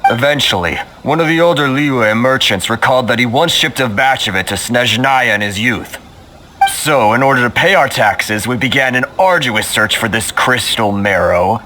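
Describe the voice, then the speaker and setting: An actor playing a worried, resigned foreign merchant in a video game, with a measured, plaintive delivery. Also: male voice, mid-range voice, bright, forceful tone, slight background noise, natural voice, low-quality recording. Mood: explaining. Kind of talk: video game dialogue acting. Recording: game music behind.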